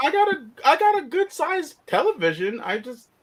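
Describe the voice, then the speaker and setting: A man co-hosting a casual chat over a video call, animated and incredulous, often cutting himself off. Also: very high voice, normal speed. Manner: animated, incredulous, self-interrupting. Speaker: podcast co-host.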